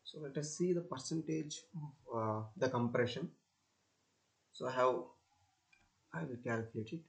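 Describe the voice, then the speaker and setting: A young man giving an unscripted screen-recording tutorial as a student presenter, speaking haltingly and repeating himself. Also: medium pitch, creaky.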